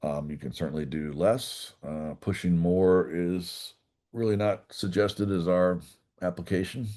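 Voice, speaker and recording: A man giving an informal technical class, walking through a software demonstration step by step in a folksy way. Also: low voice, steady tone, unhurried. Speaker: class instructor.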